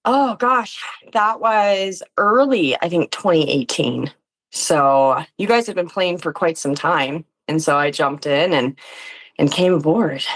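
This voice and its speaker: Casual woman with a lowish voice. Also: slow. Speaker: interview guest, tabletop game performer. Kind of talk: casual chat.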